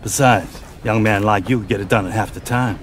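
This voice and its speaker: An actor playing a boastful, crabby old outlaw turned hog farmer in a Western game, crude and menacing, with a rural American accent. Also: male voice, lowish voice, moderate pace, a little hiss or hum, natural voice. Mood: taunting. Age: older. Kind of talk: video game cutscene acting.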